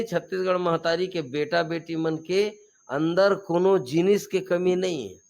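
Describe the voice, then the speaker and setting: A man with a Chhattisgarhi accent answers interview questions as a regional activist. His voice sits fairly high, and he speaks with fire and force, hammering home repeated slogans. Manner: fiery, emphatic, repeats slogans.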